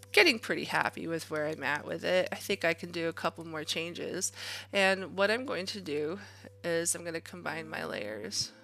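A woman with a fairly low, bright voice narrates a drawing demo as an instructor. She talks casually and encouragingly, thinking aloud as she works, with a little creak in her tone. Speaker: art instructor.

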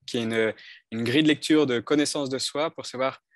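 A man's voice: medium pitch, steady tone, a little fast. A man in his thirties from northern France speaks as a coach recounting his past. He is thoughtful and hesitant, often restarting his sentences. His headset mic gives a close, dry sound with no room echo. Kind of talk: telling his life story in an interview. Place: bright indoor room. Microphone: headset on video call.

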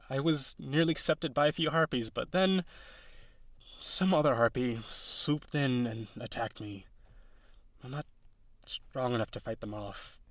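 A man's voice: mid-range voice, a bit bright, no room sound. A man voicing an eager, awkward, insecure young male harpy in an audio roleplay, talking to the listener in an earnest, rambling, nervous way. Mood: dejected confession.

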